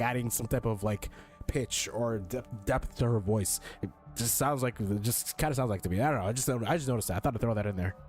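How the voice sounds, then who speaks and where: A man's voice: medium pitch, a little mellow. A young male gaming streamer with a mid-range voice gives casual commentary. He is blunt and slangy, swears and often repeats himself. Game music plays faintly behind him.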